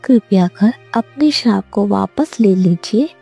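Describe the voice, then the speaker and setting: A woman's voice: medium pitch, expressive, unhurried, creaky, dark tone, breathy edge, faint music behind, full character voice. A woman voicing a vain young princess in a cartoon story, with a self-important, dramatic manner. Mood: pleading.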